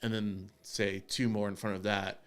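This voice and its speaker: A man's voice: on the low side, flat delivery, moderate pace, fairly bright tone, smooth. A man co-hosting a barbecue podcast, chatting casually and explaining step by step with frequent restarts. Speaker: podcast co-host. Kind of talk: casual chat.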